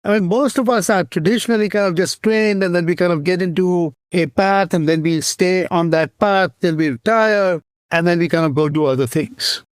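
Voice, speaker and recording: Male podcast host with a higher voice, dry sound, measured interviewer. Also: clear, resonant tone, no echo. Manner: measured, leading questions with a gentle lead-in. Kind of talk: interview questions.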